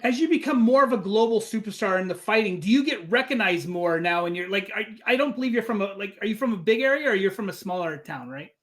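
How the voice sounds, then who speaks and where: A man's voice: sits high, lively intonation, fast talker, hardly any pauses, fairly bright tone. Man, interviewer, casual interview style, conversational questions.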